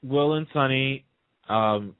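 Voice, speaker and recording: A man's voice: mid-range voice, takes it slowly, clear, resonant tone. A man talking casually, as a guest on a radio talk show. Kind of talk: casual chat about a TV soap. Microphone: phone line. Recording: narrow phone-line sound.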